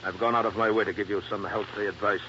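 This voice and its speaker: A man's voice: fairly low pitch, flat delivery, fast talker, bright, forceful tone, highs cut off. A man acting an impatient, threatening landowner in a 1950s radio drama, speaking sternly and formally. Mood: cold menace. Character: menacing, impatient, powerful landowner warning off an investigator. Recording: noisy, band-limited old radio recording.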